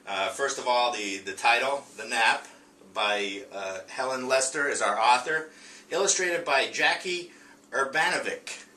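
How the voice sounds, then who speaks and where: A man gives an informal classroom-style lesson in a bright, mid-pitched voice. He is hesitant and halting, often stopping on fillers. The sound is roomy, with the mic some way off. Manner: hesitant, halting, with frequent long filler pauses. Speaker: teacher presenting a reading lesson. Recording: roomy, distant mic with faint noise.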